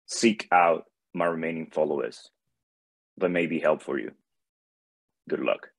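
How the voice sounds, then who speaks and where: A man playing a solemn, benevolent god of light with a grave, measured delivery in an animated fantasy table read. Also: very deep voice, vocal fry, breathy edge, no echo, heavily acted. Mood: earnest.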